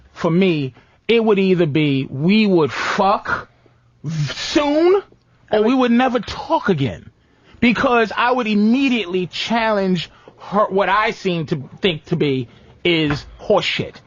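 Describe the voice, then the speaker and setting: Very high-pitched, blunt, profane man. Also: expressive, unhurried, highs cut off. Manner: slips into mocking impressions of women. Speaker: radio show host. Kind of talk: heated comedic talk radio banter.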